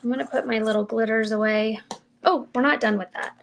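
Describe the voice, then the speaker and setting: Mild, gravelly woman demonstrating crafts. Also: fairly high pitch, fairly even intonation, a little fast, noisy background. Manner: chatty, mild and soft-spoken, thinking aloud while working. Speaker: card-making demonstrator. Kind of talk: casual crafting livestream chat.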